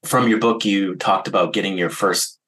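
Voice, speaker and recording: A man's voice: low-pitched, fairly even intonation, normal speed, fairly bright tone. A man hosting an interview, curious and recapping his guest's book. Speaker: interviewer host. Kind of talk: conversational interview.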